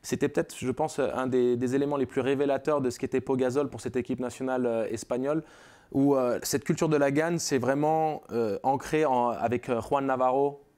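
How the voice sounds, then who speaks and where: A man's voice: medium pitch, fairly even intonation, brisk, darkish tone, clear, resonant tone. A man, a basketball pundit, speaking animatedly in a studio sports debate.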